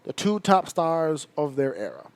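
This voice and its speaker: A man's voice: a little high, big pitch swings, measured pace, clear, resonant tone, no room sound. A man in his thirties hosting a casual podcast round table, talking in an emphatic, profane, ranting way. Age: 30s. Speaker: podcast host.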